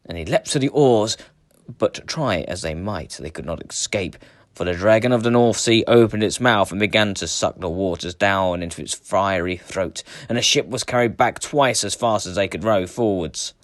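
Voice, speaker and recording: A man reading a children's story aloud with a dramatic, expressive delivery. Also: fairly low pitch, normal speed, no room sound, studio quality. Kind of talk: animated children's story narration.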